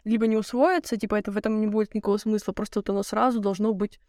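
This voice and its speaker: Fast-talking young woman, casual podcast chat. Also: mid-range voice, fairly even intonation, dark tone, no room sound, studio quality. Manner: rapid, filler-heavy slangy talk. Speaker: podcast co-host.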